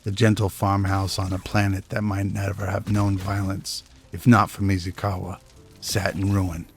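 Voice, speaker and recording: A man's voice: sits low, monotone, moderate pace, creaky. A man reading scripted narration for a radio-style drama. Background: music.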